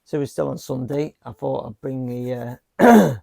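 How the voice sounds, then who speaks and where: Croaky man in casual vlog chat. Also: mid-range voice, normal speed, no echo. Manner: rambling, relaxed, lots of fillers and restarts. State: croaky from a lingering cough. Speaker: vlogger. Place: home game room. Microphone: small handheld mic.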